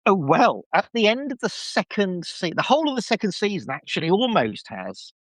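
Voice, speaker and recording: A man's voice: sits high, very animated, moderate pace, fairly bright tone, dry recording. A man chatting casually as a podcast guest, giving an enthusiastic fan review full of rambling asides.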